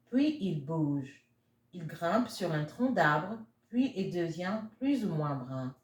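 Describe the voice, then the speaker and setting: A woman's voice: medium pitch, darkish tone. A woman reading a picture book aloud expressively for young children, addressing her listeners as friends. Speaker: children's storybook reader. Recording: roomy, echoey sound.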